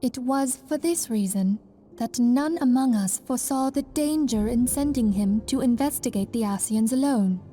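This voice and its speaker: A woman's voice: on the high side, normal speed, dark tone, soft background music, close to an everyday voice. A woman voicing a solemn, gracious leader of a heroic order in formal, earnest fantasy game dialogue. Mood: sombre concern.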